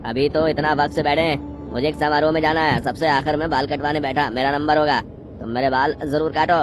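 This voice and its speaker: A man's voice: fairly high pitch, steady tone, fairly quick, pitched above the performer's own voice, close to an everyday voice. Man playing an impatient, demanding barbershop customer. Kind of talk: cartoon story character acting. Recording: music behind the voice.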